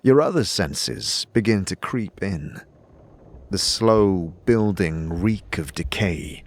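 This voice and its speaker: A man narrating a fantasy audio drama as game master, in a measured, deliberate and dramatic storytelling delivery. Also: sits low, animated. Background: faint music.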